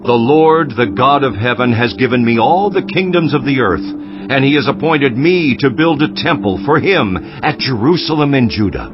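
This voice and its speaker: A man's voice: medium pitch, expressive, normal speed, muffled recording, close to an everyday voice. An actor playing a solemn Persian king, declaiming a royal proclamation in a stately manner for a dramatized scripture reading. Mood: solemn, commanding. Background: music.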